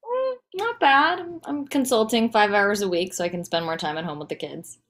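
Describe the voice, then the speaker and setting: Actress as wry wife and mother, candid, dry teasing, sitcom table read, animated intonation. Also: mid-range voice, normal speed, lightly acted.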